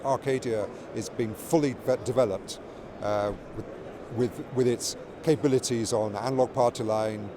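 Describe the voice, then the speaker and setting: A middle-aged or older man giving an informal interview as a company product executive, explaining things with repeated words and restarted phrases. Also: medium pitch. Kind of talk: informal trade show interview. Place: busy trade show exhibition hall. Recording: loud trade show floor noise. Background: clear ambient show floor noise.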